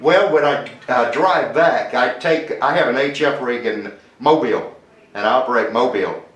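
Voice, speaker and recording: A man's voice: mid-range voice, moderate pace, fairly bright tone. Male ham operator, easygoing radio chat, spells words phonetically, room echo on the mic. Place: home radio station in Mexico.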